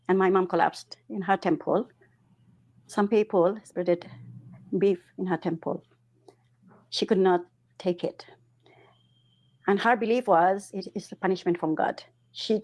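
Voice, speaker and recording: Earnest middle-aged woman from Bangladesh, lowish voice, halting delivery. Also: a little mellow, low-quality recording. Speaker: interview guest, councillor. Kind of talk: personal testimony in interview.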